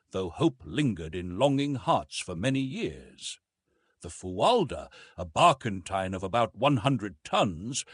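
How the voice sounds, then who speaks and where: A man reads literary narration as an audiobook storyteller. His delivery is formal and measured, with pitch that moves widely through each sentence. The voice has a slightly husky grain and sits in a very dry, close acoustic. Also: fairly bright tone, dry recording.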